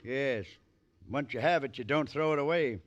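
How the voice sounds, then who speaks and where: An actor playing a grim, grieving older town patriarch, terse and subdued, in an old-time radio western. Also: male voice, medium pitch, moderate pace, dull audio, lightly acted. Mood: solemn.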